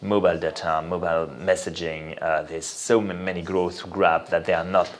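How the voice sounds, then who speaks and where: Male interview guest, French accent, low-pitched, explains in a halting, thinking-aloud way. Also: little pitch movement, slight background noise. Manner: halting, thinking aloud with repeated "you know". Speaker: interview guest, venture capital investor. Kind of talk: spoken interview, explanatory talk.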